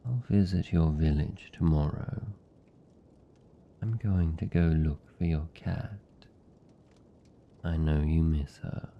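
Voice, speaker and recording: Man voicing a very deep, slow British vampire count. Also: fairly even intonation, creaky, muffled recording, exaggerated voice. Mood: soothing, affectionate. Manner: soft, slow, soothing, lingering pauses. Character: lonely, tender male vampire count, possessive yet gentle. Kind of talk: intimate ASMR roleplay.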